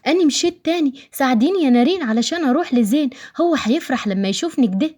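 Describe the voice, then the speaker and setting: A woman's voice: high voice, fairly even intonation, fast, a little mellow, voice pushed up, lightly acted. A woman voicing a frail, affectionate young patient in an audio story, emotional and pleading, with an Egyptian accent. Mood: eager.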